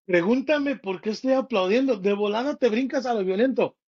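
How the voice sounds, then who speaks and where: A man's voice: very high-pitched, brisk, darkish tone, highs cut off. A man co-hosting a casual chat, teasing and joking through the banter. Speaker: podcast co-host.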